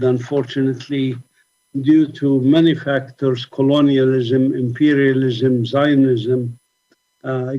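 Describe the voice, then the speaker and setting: A middle-aged man from Palestine speaks at a measured pace, pausing often with ums and going back over his words. His pitch sits in an ordinary middle range. The audio is slightly muffled and lo-fi. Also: warm tone. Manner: thoughtful, halting, many ums and restarts. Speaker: conference speaker. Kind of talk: talk about his life and activism.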